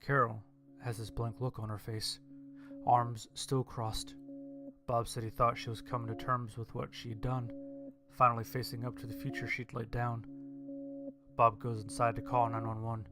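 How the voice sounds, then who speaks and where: A man's voice: medium pitch, little pitch movement, normal speed. A man reading a scripted horror story as its narrator. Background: instrumental music.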